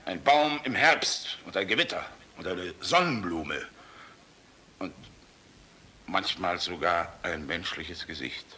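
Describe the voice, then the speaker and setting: An old army general in a German radio drama, gruff and fatherly. The voice is low and sharply forceful, swinging widely from blustering outbursts to hushed musing. He argues rhetorically, with passion and weariness. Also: slight background noise, full character voice. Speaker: actor in a radio play.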